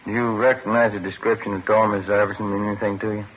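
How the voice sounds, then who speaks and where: A noisy old radio recording, dull in tone, of a man playing an American police detective. He talks quickly and briskly, in short, polite questions. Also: on the low side, level tone, close to an everyday voice. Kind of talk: 1950s radio crime drama acting.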